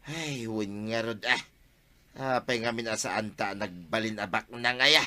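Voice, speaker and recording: A man's voice: lowish voice, unhurried, bright, forceful tone. A man with an Ilocano accent acting a broke, scheming village husband who wheedles for loans in a Filipino radio comedy drama. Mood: grumbling.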